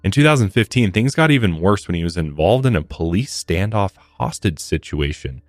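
Very deep-voiced American man narrating true crime. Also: lively intonation, normal speed, no room sound, clean studio sound. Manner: blunt, profane commentary. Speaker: true-crime podcast host. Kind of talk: scripted true-crime narration with casual asides. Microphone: close studio podcast microphone. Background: faint music.

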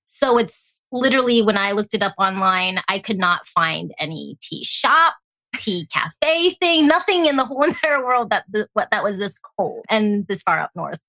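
A woman with an American accent, chatting casually as an interview guest and telling stories in an animated way. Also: mid-range voice, normal speed, no echo. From born in Hong Kong, grew up in Alaska. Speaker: guest, tea company founder.

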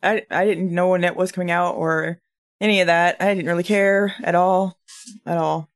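Casual podcast co-host, medium-pitched. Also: steady tone, normal speed. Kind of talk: casual film discussion.

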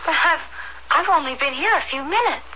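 High, dreamy young daughter. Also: female voice, lively intonation, brisk, background noise. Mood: dazed, innocent. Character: dreamy, withdrawn grown daughter in a trance-like spell. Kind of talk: radio drama acting. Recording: muffled old radio broadcast.

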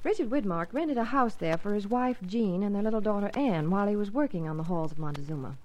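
A woman's voice: medium pitch, lively intonation, fast, darkish tone. An American woman reading a scripted 1950s radio promotional segment as a Hollywood reporter, polished in delivery.

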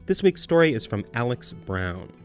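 A man's voice: mid-range voice, very animated, moderate pace. A man hosting a podcast, reading a friendly, upbeat scripted introduction. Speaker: podcast host. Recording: music bed under voice.

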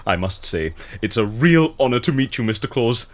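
An actor playing an eager, polite and naively festive interviewer in an audio drama, chatty and theatrical. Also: male voice, medium pitch, expressive, moderate pace, close to an everyday voice. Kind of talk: scripted audio drama acting.

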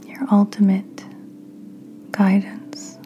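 A woman podcast host leading a guided meditation in a gentle, soothing, breathy near-whisper. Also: mid-range voice, monotone, drawn-out words, muffled recording. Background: soft music.

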